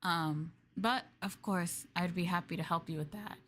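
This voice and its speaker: A woman plays a young female maid in an ASMR roleplay. She is gentle and courteous, with a bashful, hesitant way of talking. She sounds eager to please as she offers her services to her master. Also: fairly low pitch, moderate pace, a little hiss or hum, close to an everyday voice. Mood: cheerful, eager. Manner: soft, polite, hesitant, apologetic, eager to please. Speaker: VTuber streamer playing a maid for live chat. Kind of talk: live roleplay ASMR, improvised chat.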